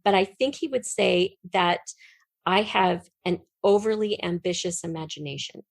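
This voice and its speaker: A woman's voice: on the low side, moderate pace, gravelly, dry recording. A woman talking conversationally as an interview guest, telling stories in a rambling, colloquial way. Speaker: interview guest, audio drama writer.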